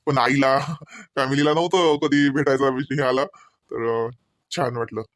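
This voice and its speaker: A man's voice: medium pitch, fairly even intonation, unhurried, no echo, studio quality, natural voice. Man playing a genial, effusive farmhouse owner. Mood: complimentary. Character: genial, hospitable male farmhouse owner, a respected sahib. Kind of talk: comedy cartoon dialogue.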